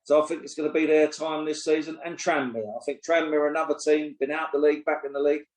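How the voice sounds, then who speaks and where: A man's voice: on the high side, fairly even intonation, fast. A man, co-hosting a casual football podcast, blunt and opinionated, swearing freely. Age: 60s. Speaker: podcast co-host and pundit. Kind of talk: casual chat. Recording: roomy echo.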